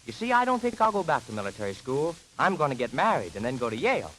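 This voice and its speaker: A man's voice: mid-range voice, quick pace, rough recording. An actor playing an earnest, naive, lovestruck teenage boy in old-time radio comedy style. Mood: earnest, anxious. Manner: eager, naive, excitable. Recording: faint hiss, old radio recording.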